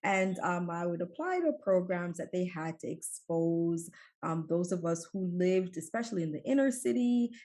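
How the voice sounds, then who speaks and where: A woman's voice: fairly low pitch, lively intonation, normal speed. Chatty middle-aged woman from Jamaica. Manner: warm storytelling with laughs and many fillers. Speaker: guest, emergency physician. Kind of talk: conversational interview storytelling.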